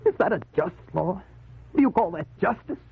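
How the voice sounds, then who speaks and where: A man's voice: high voice, expressive, normal speed, creaky, a little hiss or hum. An actor playing an impassioned, indignant young man of the Spanish colonies in a fervent 1940s radio drama style. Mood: indignant, vowing. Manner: fervent, declamatory. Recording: tinny, muffled old radio recording.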